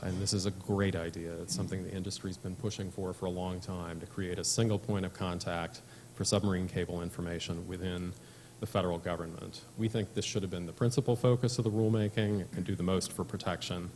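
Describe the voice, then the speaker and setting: Low, flat-voiced man presenting policy. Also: slight background noise. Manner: measured, frequent fillers. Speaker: conference speaker.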